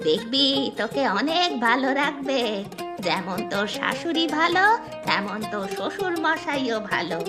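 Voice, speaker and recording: A woman acts out an old village granny in a cartoon folk tale. She is kindly and motherly, quick to chide the young ones fondly. Music plays clearly behind her words. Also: high-pitched, sing-song. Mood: reassuring. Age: older. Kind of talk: animated moral-story voice acting.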